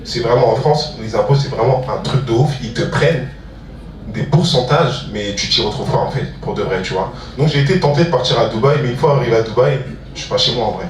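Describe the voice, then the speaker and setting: A man's voice: medium pitch, brisk. A man speaking informally as a guest at a public talk, using slang and repeating phrases for emphasis. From Ivory Coast. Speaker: music producer and entrepreneur, guest speaker. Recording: roomy hall, distant mic, audience murmur.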